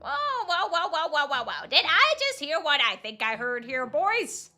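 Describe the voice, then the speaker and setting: A woman's voice: high voice, sing-song, a little slow, bright, forceful tone, soft background music, voice pushed up, exaggerated voice. A woman voicing a bossy male alpaca gang leader in a video game, with mouthy, streetwise heckling. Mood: gleeful mockery. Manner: mouthy, streetwise wiseguy talk.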